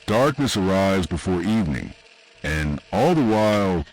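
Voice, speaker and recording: A man reading scripted horror narration in an ominous, deliberate way, with short dramatic phrases and pauses. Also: low-pitched, sing-song, darkish tone, slight background noise, low-quality recording. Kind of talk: scripted second-person analog horror narration.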